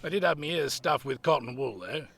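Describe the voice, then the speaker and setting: A British actor playing a grumpy, antisocial old care-home resident in an audio drama, grumbling and sardonic. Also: male voice, on the high side, animated, fairly quick, fairly bright tone. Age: older.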